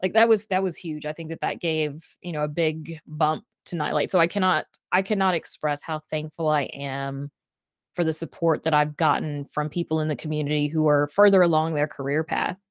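A woman with a fairly low voice chats casually as a guest on a livestream. She is animated and informal, dropping in conversational fillers often. The sound is close and dry, from a video call. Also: a little fast, no echo. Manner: animated, informal, peppered with "like" and "you know". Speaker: guest, writer and podcast creator. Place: small book-lined room indoors, on a video call.

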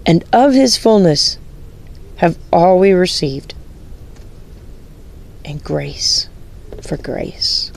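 A woman reading the Bible aloud devotionally, in deliberate, halting phrases with pauses, in a home recording. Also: on the low side, gravelly. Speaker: scripture reader. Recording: home recording with steady background noise.

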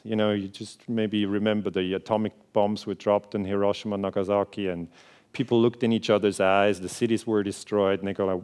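A man in his forties from Switzerland, a native Swiss German speaker with a Swiss German accent, giving an earnest, conversational keynote lecture on a large theater stage. He fills pauses with "uh" and "um" and gestures as he talks. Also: fairly low pitch, a little mellow. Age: 40s. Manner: earnest, conversational, many filler sounds, moves and gestures while speaking.

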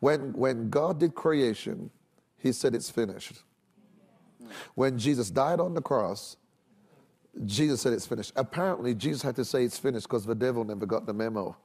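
A middle-aged man speaks as a preacher on a religious TV panel. His voice sits at a medium pitch, and he explains emphatically, circling back over phrases to give them weight. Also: moderate pace, darkish tone. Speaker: minister and panel guest. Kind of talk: preaching-style panel talk on a religious TV show. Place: large TV studio set with audience.

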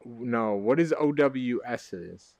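A young man chatting casually while gaming, animated, joking and swearing freely. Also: mid-range voice, takes it slowly, creaky, dry recording. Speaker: gamer in a group session. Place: online VR game voice chat.

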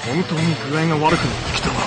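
A man's voice: on the high side, steady tone, racing, close to an everyday voice. An actor playing a haughty, hot-tempered scheming strategist of ancient China in a video game, contemptuous and pompous as he scolds. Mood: exasperated. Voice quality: theatrical, swinging from sneer to shriek. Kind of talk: theatrical video game voice acting. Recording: game music behind the lines.

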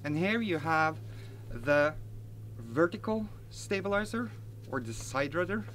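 A man's voice: fairly high pitch, measured pace, slight background noise. Chatty man reviewing a model plane outdoors. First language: Norwegian. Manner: relaxed, chatty, frequent "uh" fillers and self-corrections. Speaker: RC hobby reviewer. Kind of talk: casual unboxing review. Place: outdoors in a garden by a house.

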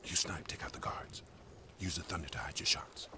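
A commanding veteran sergeant acted by a man with an extremely deep, half-whispered voice. He gives gruff, terse orders in a game. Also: steady tone, brisk, close to an everyday voice. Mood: hushed, decisive. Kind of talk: video game dialogue acting.

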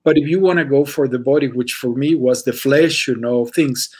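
A man's voice: medium pitch, moderate pace, a little mellow, breathy edge. Man, artist on video call panel, hesitant, restarts a lot, many you-knows. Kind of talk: reflective panel answer.

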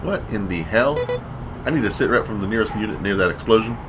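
Deep-voiced commanding captain played by a man. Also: lively intonation, lightly acted. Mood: weary, exasperated. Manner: brisk, clipped military orders. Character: gruff, commanding male police captain at a checkpoint. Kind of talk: audio drama acting. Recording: vehicle and city noise behind.

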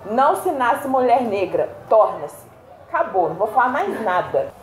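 A young woman chats in a high voice, animated and breaking into laughter often. She sits indoors against a plain wall, and the room adds a noticeable echo with a faint hiss under it. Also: moderate pace. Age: young adult. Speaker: co-host. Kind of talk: casual chat. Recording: roomy echo.

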